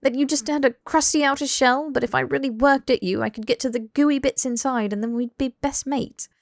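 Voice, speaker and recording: A woman's voice: sits high, fairly even intonation, brisk, vocal fry, no room sound, voice pushed up. Woman doing a young british guy in an audiobook, exasperated and earnest, emphatic, very dry recording. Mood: exasperated, heated. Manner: emphatic, sweary, rambling tirades. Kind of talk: dramatic audiobook dialogue.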